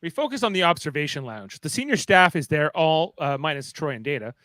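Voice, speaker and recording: A man's voice: on the high side, very animated, brisk, sharp tone, no echo. A man narrating a radio-theatre script, reading out stage directions.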